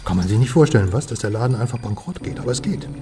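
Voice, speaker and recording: An actor playing a worldly, wry informant in a radio crime drama, talking in knowing, clipped conversational phrases. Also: male voice, medium pitch, animated, racing, lightly acted. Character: worldly, wry male informant passing on political secrets.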